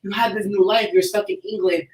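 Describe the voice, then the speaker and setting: A woman's voice: mid-range voice, steady tone, a little fast. A woman in a roomy, echoing space, some distance from the mic. She talks in an insistent, slangy way with plenty of swearing, as a co-host in a casual group chat. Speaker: podcast co-host. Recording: roomy echo, distant mic, background noise.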